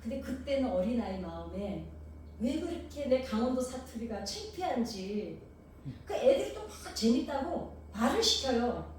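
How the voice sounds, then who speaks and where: A woman's voice: on the high side, very animated, moderate pace, darkish tone, distant mic, slight background noise. Korean woman preaching in an echoey hall. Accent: Jeolla-tinged Korean. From Gangwon Province, South Korea. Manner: poses rhetorical questions to the congregation; homely, anecdotal storytelling. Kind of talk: sermon.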